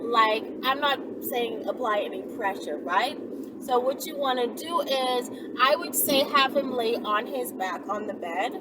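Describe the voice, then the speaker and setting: Woman, fairly high voice, informal instructional talk, conversational, distant mic, loud ambient noise. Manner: conversational, often tagging sentences with "right?". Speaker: channel host giving explicit adult advice.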